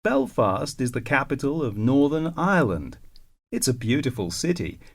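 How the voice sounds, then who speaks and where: A man reading scripted self-introductions for a listening exercise, slow and carefully enunciated. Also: mid-range voice, big pitch swings.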